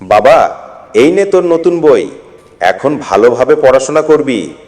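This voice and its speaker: Reverberant, expressive poor farmer father played by a man. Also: mid-range voice, a little slow, lightly acted. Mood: kind. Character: poor hardworking farmer father, gentle and self-sacrificing. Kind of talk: dramatised moral story acting. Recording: long echoing reverb.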